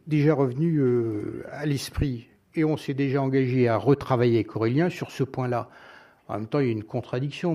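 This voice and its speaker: Man, soft-edged mellow tone, mid pitch, elected official, political debate speech, measured and argumentative. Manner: argumentative, measured rebuttal point by point. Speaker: local elected official, vice-president of an intercommunal council. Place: council meeting.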